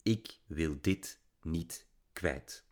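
A man voicing an ambitious, furious teenage girl influencer in an audiobook, shrill and bursting. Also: extremely slow, heavily acted. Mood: subdued. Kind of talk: audiobook character voice.

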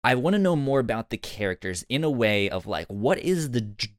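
A man hosting a podcast, chatting casually and haltingly, often repeating words as he works out his thought. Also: medium pitch, sing-song, no room sound, clean studio sound. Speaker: podcast host. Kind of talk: casual review discussion.

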